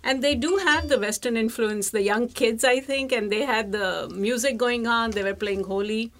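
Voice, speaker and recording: An Indian-accented woman with a fairly high voice. She talks as a guest in a casual, conversational way, enthusiastic and emphatic about what she describes. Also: moderate pace, fairly bright tone. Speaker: interview guest, travel professional.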